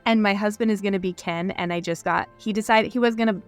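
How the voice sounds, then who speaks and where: Chatty woman co-host, mid-pitched, upbeat, faint music underneath. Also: steady tone, fast. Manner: upbeat, chatty, laughs easily. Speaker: podcast co-host. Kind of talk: casual chat.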